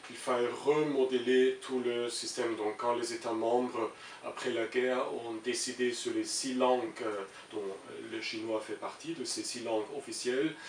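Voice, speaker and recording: A man's voice: medium pitch, steady tone, normal speed. A middle-aged man from Germany, a native German speaker with a German accent, answering interview questions as an international civil servant and often searching for his words. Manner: hesitant, searching for words with frequent repetitions. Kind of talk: interview answers, explaining an opinion. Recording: roomy, distant mic with faint hiss.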